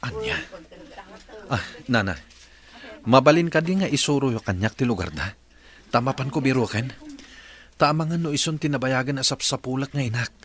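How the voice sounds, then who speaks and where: A man's voice: mid-range voice, animated, moderate pace, long pauses, natural voice. An actor playing an earnest, polite young man in a radio drama, speaking with an Ilocano accent. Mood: hopeful, urgent. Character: earnest young man, respectful boss seeking his mother. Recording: radio drama with noticeable background noise.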